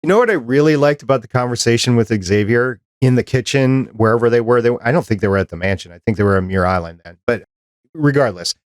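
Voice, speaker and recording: A man chatting on a podcast in a mid-pitched voice. He thinks out loud and builds long explanations with comparisons. The sound is dry and close. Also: fast, no echo. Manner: thoughtful, explains at length with comparisons. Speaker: podcast producer and co-host. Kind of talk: casual chat.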